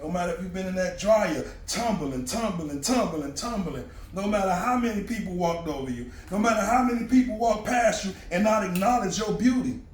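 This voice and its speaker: A man telling a motivational story in an animated, repetitive way, sometimes bursting into shouts. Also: sits high, brisk, hardly any pauses, soft background music. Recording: echoey room, distant mic, faint hiss.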